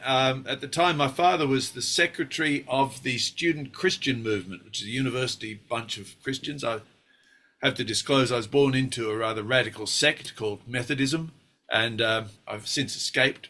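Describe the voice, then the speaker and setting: Older Australian actor, sixties, bright and forceful tone, wry storyteller who hesitates and restarts. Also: male voice, medium pitch, normal speed. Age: 60s. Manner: wry, self-deprecating, with many fillers and restarts. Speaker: actor guest. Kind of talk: relaxed interview reminiscing.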